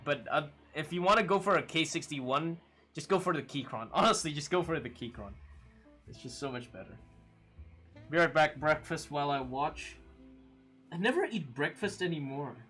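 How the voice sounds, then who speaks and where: Chatty young man streaming. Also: a little high. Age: 20s. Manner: relaxed, chatty, laughs often, many fillers. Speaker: livestream host. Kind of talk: casual chat reading viewer comments.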